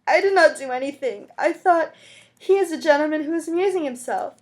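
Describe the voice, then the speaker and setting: A desperate, impoverished mother played by a woman reading a novel aloud pleads for mercy. Her voice is high and agitated, close to sobbing, in a dramatic storybook delivery. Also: brisk, natural voice. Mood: tearful pleading. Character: desperate destitute mother begging tearfully for mercy.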